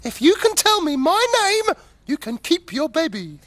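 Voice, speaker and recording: A British woman voicing an excitable boy who plays a gleeful little imp in a children's puppet show, theatrical and childish. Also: high voice. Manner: chortling, theatrical, slow and childish.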